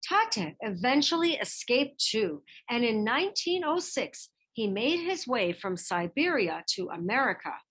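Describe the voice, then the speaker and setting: A woman narrates a children's storybook in a fairly high voice. Her delivery is slow and measured, and her pitch moves widely as she stresses key phrases. It is expressive, scripted read-aloud narration. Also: slightly rough. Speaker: reader of a children's picture book biography.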